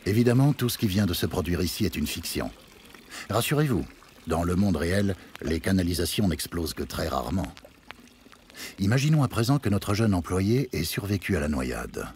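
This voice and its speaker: An actor playing an ominous, wryly ironic narrator of a radio fiction, with a deadpan yet dramatic manner. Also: male voice, sits low, normal speed, slight background noise. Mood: wry menace.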